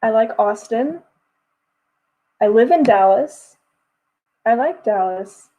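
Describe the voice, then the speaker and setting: A chatty young woman from Texas talks casually to her livestream viewers. Her voice sits a bit high but has a warm, mellow tone. The sound is a little muffled, like a home computer microphone. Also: animated, slow pace. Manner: chatty, reads chat messages aloud and answers them. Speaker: livestream host.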